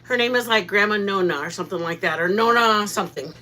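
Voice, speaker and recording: Excitable, giggly woman cooking host. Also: mid-range voice, quick pace. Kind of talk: casual live cooking chat. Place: home kitchen.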